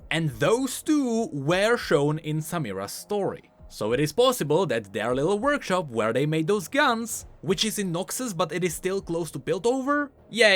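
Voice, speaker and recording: Male game-lore commentator, higher-pitched and very lively intonation, scripted explainer delivery over music.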